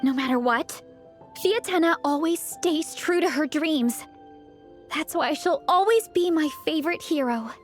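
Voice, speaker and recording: A woman voicing an energetic, big-eating young comic superfan in an anime video game, earnest and enthusiastic. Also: high voice, unhurried. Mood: admiring. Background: game music.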